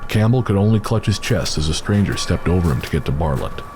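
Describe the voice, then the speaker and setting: A man's voice: low-pitched, expressive, fast talker, a little mellow, slight background noise. A man narrating a western horror story in a dramatic, scripted audio drama style.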